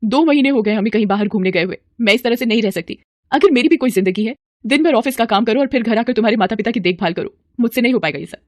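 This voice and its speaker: A woman voicing an emphatic young married daughter-in-law with a quick temper in an animated storybook tale. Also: fairly high pitch, no echo, natural voice. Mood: angry complaint.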